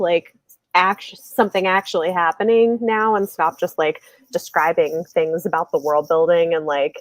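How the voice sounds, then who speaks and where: A woman's voice: medium pitch, no echo. A woman hosting a casual book discussion, thinking aloud in a rambling way with lots of fillers. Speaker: live show host. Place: indoors, livestream video call.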